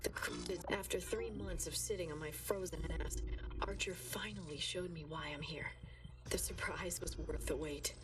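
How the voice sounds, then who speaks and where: An actress playing an exhausted, haunted woman scientist confiding in a halting, intimate audio diary for a video game. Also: fairly low pitch, fairly even intonation, recorded from a distance, close to an everyday voice. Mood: intrigued, wry.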